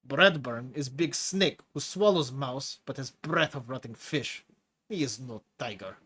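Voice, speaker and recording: A man's voice: medium pitch, measured pace, sharp tone, breathy edge. A man voicing a hulking, clawed male prisoner who talks in broken English with a thick accent and a boastful swagger. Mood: menacing. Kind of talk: audiobook character voice.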